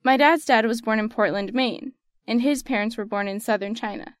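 A young woman with a General American accent reads her own essay, earnest and reflective. Her voice sits a little high. It is recorded close and dry, with no room sound. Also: normal speed, no echo. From United States. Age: young adult. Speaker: student essayist. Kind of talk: personal essay narration.

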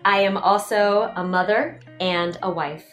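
A woman's voice: mid-range voice, measured pace, fairly bright tone. A woman from the Washington, D.C. area, a performer and director, telling her own story in an effusive, upbeat way. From Washington, D.C. area, USA. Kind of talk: first-person audio portrait narration. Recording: roomy sound with faint music behind.

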